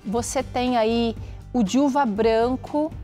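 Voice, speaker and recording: A woman's voice: on the high side, takes it slowly, warm tone, faint music behind. A Brazilian woman in her thirties hosting a TV cooking show in a studio, chatty and enthusiastic as she speaks casually to viewers. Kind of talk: casual, promotional presenting to viewers. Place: TV kitchen studio.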